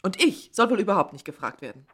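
A woman's voice: medium pitch, very animated, fast, slightly rough. A woman acting in a German radio play as a sensible, exasperated partner, firm and reproachful in manner. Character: exasperated, sensible woman arguing with her suitor.

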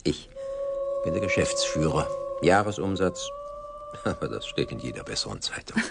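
Menacing executive, polished and sardonic, highly animated intonation, creaky low register, radio play. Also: male voice, normal speed, heavy background noise, full character voice. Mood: smug, affable. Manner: polished, sardonic, theatrically courteous. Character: smooth, smug, menacing male company director. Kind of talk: German radio drama acting.

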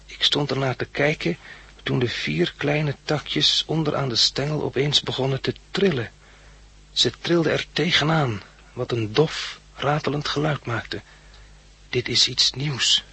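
A man's voice: medium pitch, measured pace, creaky, pushed and bright, breathy edge, heavily acted. An actor playing an earnest man who recounts his studious youth with precise diction in a 1960s Dutch radio drama.

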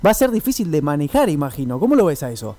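A man's voice: on the high side, very animated, quick pace, warm tone. A man with a Buenos Aires accent chatting casually as a radio host, informal and rambling. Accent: Argentine, Buenos Aires. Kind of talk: casual radio interview chat.

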